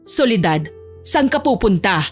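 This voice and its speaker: A woman's voice: mid-range voice, sing-song, unhurried. A woman voicing a grumpy, bossy team head in her forties in an audio drama, curt and commanding, over music. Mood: stern, ordering. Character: bossy, grumpy 45-year-old female office team head. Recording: music bed behind the voice.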